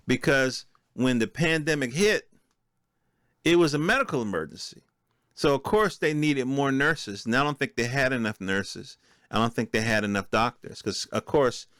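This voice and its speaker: A man's voice: medium pitch, a bit bright, no room sound, studio quality. A middle-aged American man hosting a podcast solo, giving informal commentary with offhand asides and checking in with listeners. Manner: conversational, checks in with listeners using rhetorical questions. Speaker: podcast host.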